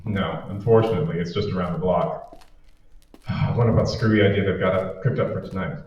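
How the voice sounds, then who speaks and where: A man's voice: sits low, brisk, a little mellow. A man playing a wisecracking, reluctant boyfriend in an old-time radio drama, sardonic and grumbling. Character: wisecracking, reluctant boyfriend griping about his boss's parties. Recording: muffled, very echoey, distant, faint noise.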